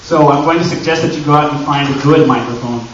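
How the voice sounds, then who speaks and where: A man's voice: on the high side, fast talker. Echoey, distant man presenting a convention panel. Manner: chatty, joking, addresses the crowd directly. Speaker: panel presenter and audio drama creator. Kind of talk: informal convention panel talk to an audience. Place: indoor convention panel room. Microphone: distant camera microphone. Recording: very echoey room, distant, muffled and noisy.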